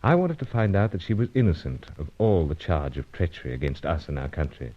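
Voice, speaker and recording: An actor playing a suave, earnest British secret agent in an old radio adventure serial, with deliberate, clipped, dramatic delivery. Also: male voice, rumbling low, lively intonation. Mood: wistful, reflective. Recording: dull lo-fi old radio recording.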